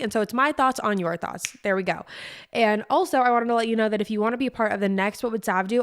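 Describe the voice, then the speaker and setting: A woman's voice: medium pitch, clean tone. A young American woman hosting a solo podcast, talking casually and effusively, with informal, emphatic phrasing. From Connecticut, US East Coast. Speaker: podcast host.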